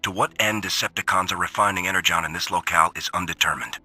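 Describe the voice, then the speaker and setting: Gruff, raspy robot soldier. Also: male voice, deep voice, fairly even intonation, quick pace, bright, forceful tone, dry recording, faint music behind, heavily acted. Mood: clipped, businesslike. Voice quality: gravelly, raspy. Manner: terse, matter-of-fact, gruff. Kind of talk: scripted in-character audio log.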